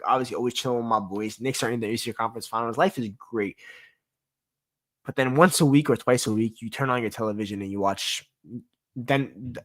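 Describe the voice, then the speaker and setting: A young man hosting his own sports talk show, venting in a casual, exasperated rant full of repeats and swearing. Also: mid-range voice.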